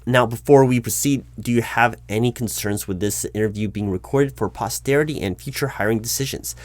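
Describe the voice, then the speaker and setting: A man plays a corporate recruiting manager in an ASMR-style interview roleplay. His voice sits at a medium pitch and is spoken out loud. He is formal, polite and wordy, and the sound is close and very dry. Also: fairly quick, no room sound. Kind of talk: one-sided ASMR job interview roleplay, spoken aloud.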